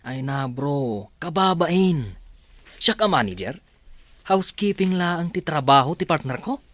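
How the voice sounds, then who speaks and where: A man's voice: fairly high pitch, lively intonation, a little slow, a bit bright. A man acting a defensive, evasive young rich son in an Ilocano radio drama, hesitant and protesting.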